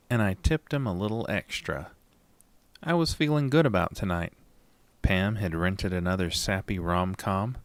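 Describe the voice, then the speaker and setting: A man playing an anxious, haunted narrator in a first-person horror audio drama, deliberate and suspenseful. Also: lowish voice, no echo. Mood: easygoing.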